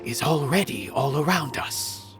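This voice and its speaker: A woman's voice: low-pitched, lively intonation, measured pace, music in the background. A woman playing an aloof, condescending art philosopher in a video game, with lofty, teasing phrasing.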